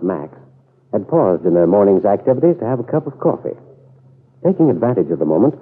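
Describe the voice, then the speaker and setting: A tinny old radio recording carries a low male announcer narrating a serial. His formal storytelling has a husky grain and plenty of rise and fall.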